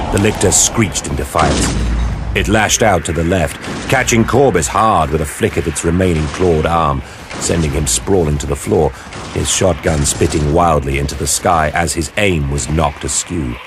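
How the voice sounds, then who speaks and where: A man performing dramatic scripted narration of a science fiction war story, grave, theatrical and deliberate in his delivery. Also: rumbling low. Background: dramatic music with battle sound effects.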